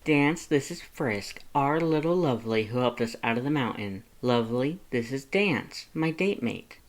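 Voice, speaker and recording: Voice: medium pitch, expressive, moderate pace, lightly acted. A narrator voicing an affectionate male skeleton monster in a cooing, gushing manner. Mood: proud, affectionate.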